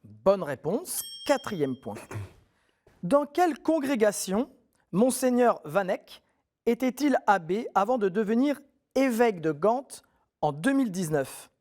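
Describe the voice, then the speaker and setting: A man hosting a televised quiz show, reading questions clearly and encouraging contestants in a friendly way. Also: high-pitched, big pitch swings, a little slow, darkish tone.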